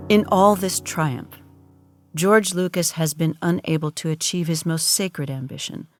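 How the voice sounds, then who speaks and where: Female narrator, very low register, scripted audio-drama storytelling, soft music underneath.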